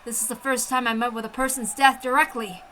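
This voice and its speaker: A woman reading a first-person story aloud with an expressive, intense dramatic delivery. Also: on the high side, a little fast, a little hiss or hum. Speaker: amateur story reader.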